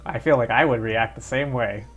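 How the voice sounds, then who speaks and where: A man's voice: medium pitch, moderate pace, a bit bright, soft background music. A man doing casual live game commentary as a streamer, with offhand, wry reactions.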